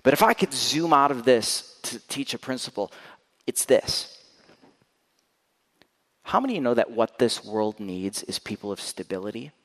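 A man preaches to a live congregation, his mid-pitched voice swinging from loud, emphatic bursts down to quiet, intense lows. He speaks candidly into a mic, and the church hall carries a long, ringing reverb. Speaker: guest preacher. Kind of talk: sermon. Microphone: handheld or stand mic.

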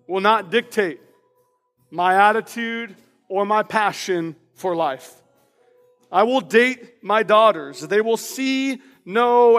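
Loud, passionate high-voiced pastor in hall. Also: male voice. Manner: reads personal declarations aloud with emphasis. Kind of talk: sermon. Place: church sanctuary.

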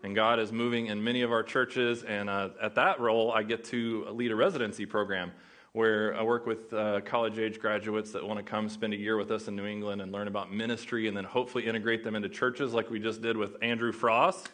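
A man's voice: lowish voice, level tone, a little fast, fairly bright tone. A young man from Oklahoma with an Oklahoma accent, preaching as a guest pastor in a casual, joking way that chats with the audience. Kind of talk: sermon.

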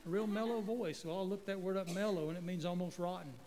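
A middle-aged guest pastor from North Carolina, preaching in a folksy, joking way with stumbling restarts. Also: male voice, sits high, steady tone, fast talker, long reverb tail, heavy background noise. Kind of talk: sermon. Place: church sanctuary. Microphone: handheld microphone.

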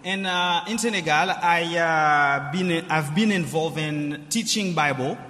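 Slow, hesitant Haitian missionary man. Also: on the high side, bright, forceful tone. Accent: Haitian Creole. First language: Haitian Creole. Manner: hesitant, with many filler pauses. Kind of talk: onstage interview answers. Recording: long hall reverb.

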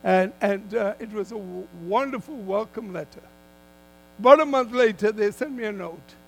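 An older man preaching a sermon as a guest speaker, in emphatic, deliberate phrases that he repeats for weight. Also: high-pitched, vocal fry, a bit bright, rough recording. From Malaysia.

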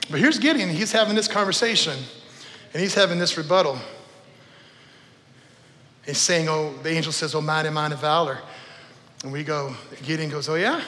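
A man's voice: fairly high pitch, expressive, moderate pace, pauses often, bright, forceful tone, slight background noise. A middle-aged man preaching informally to a congregation, telling stories in a conversational, emphatic way, repeating phrases and checking in with his listeners. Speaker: preacher, former youth pastor. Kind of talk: informal storytelling sermon to a congregation. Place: church service in a large room. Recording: reverberant hall sound.

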